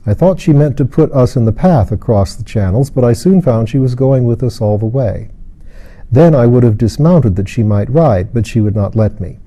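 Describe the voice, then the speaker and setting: A male narrator reads literary fiction in a measured, careful way. His voice is very dark and soft-toned, with a medium pitch. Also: expressive. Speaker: audiobook narrator.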